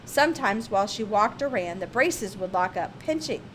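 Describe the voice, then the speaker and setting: A woman reading a children's picture book aloud, with expressive, emphatic phrasing. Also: mid-range voice, moderate pace, slight background noise, low-quality recording. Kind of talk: read-aloud children's storybook narration.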